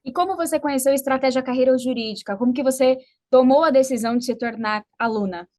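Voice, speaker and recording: A woman's voice: high voice, fast, darkish tone. A woman with a Brazilian accent hosting an interview, speaking politely and articulately as she prompts her guest. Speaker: interview host.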